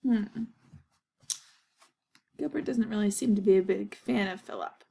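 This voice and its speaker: A woman's voice: mid-range voice, unhurried, creaky, dark tone, breathy edge. A woman reading a children's story aloud as narration, with little asides to the listeners.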